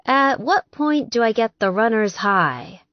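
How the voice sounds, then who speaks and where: A woman's voice: on the high side, lively intonation, a little slow, no room sound, highs cut off, voice pushed up. An eager, good-natured young girlfriend, acted by a woman narrator in an audiobook. She is chatty and earnest, making fun of herself, in a very dry, close sound. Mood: weary, playful. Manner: earnest, chatty, self-deprecating. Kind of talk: audiobook character dialogue.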